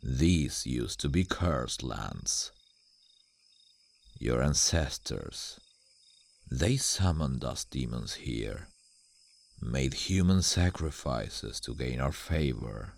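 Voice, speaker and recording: An ancient demon guardian for an ASMR roleplay. His voice is extremely deep and crackles with gravel. He is suave, sardonic and condescending, and his intonation rises and dips theatrically as he teases. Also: lively intonation, full character voice. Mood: ominous, disdainful. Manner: slow, deliberate, dramatic, condescending toward humans.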